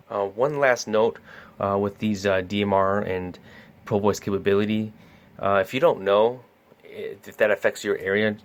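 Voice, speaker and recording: A man casually talking through product features as a store salesperson, with many uh and um fillers. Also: low voice. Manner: easygoing, lots of uh and um fillers. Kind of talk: casual product demo talk.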